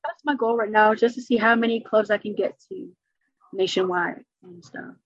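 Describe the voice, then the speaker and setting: A middle-aged woman with a New York accent, a stand-up comedian chatting in an interview and telling stories quickly and animatedly. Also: mid-range voice, no echo, dull audio. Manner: fast, animated storytelling with laughs. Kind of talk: casual interview chat.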